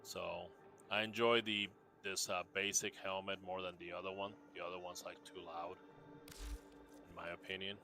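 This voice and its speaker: A man from Puerto Rico with a low voice that still has a bright, forward edge talks through his game builds in a relaxed, meandering way. He speaks slowly, circling back with fillers and restarts. Soft music plays faintly underneath. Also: gravelly. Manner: rambling, laid-back, many fillers and restarts. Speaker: gamer showing off character builds. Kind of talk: casual game showcase chat.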